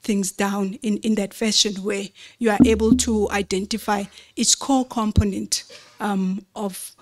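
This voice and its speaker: A woman giving an explanatory slide presentation at a convention, in a measured, didactic way with rhetorical questions. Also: mid-range voice, fairly even intonation.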